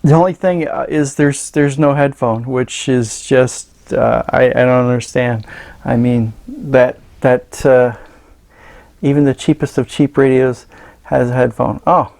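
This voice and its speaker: A man reviewing a radio gadget in a casual, halting, understated hands-on demo. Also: mid-range voice, steady tone, creaky, darkish tone.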